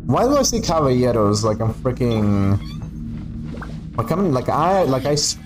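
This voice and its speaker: A man's voice: medium pitch, sing-song, unhurried, music in the background. A man whose first language is Spanish, giving casual, rambling gaming livestream commentary with playful, sweary grumbling. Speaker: game streamer.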